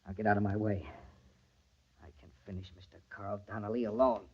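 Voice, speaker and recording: An actor playing a desperate, commanding lover covering up a crime in an old radio thriller, giving urgent, bossy orders. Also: male voice, fairly low pitch, fairly even intonation, a little fast, slight background noise, lightly acted. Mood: grimly controlled. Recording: dull, lo-fi old radio recording.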